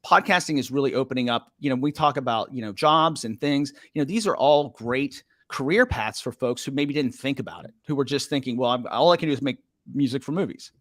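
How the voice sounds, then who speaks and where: A man hosting a podcast in a relaxed, hesitant way, with many uhs and jokey asides. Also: medium pitch, brisk, no room sound, clean studio sound. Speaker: podcast host. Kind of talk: casual podcast conversation.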